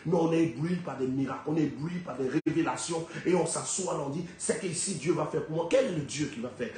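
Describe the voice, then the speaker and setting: High-voiced preacher with a cold in an echoey hall. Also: male voice. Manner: emphatic, rhetorical questions, big swings in pitch and loudness. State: has a head cold. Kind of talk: sermon. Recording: very echoey hall, faint background noise.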